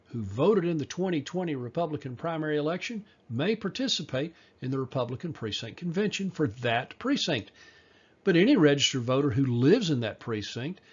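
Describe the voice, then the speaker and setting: A man reading a scripted informational voice-over, measured and explanatory, slowing down for key details. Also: medium pitch, slightly rough, lo-fi sound. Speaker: party presenter.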